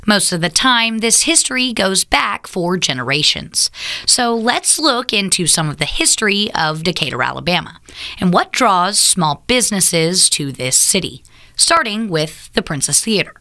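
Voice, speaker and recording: A woman reading a news report script in an expressive way. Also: medium pitch, moderate pace.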